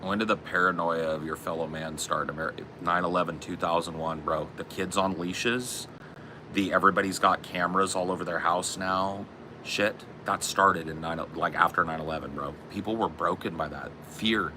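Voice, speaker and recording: A man with a deep voice, co-hosting a podcast in a loose, profane, rambling chat. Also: fairly even intonation, moderate pace, noisy background. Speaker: podcast co-host.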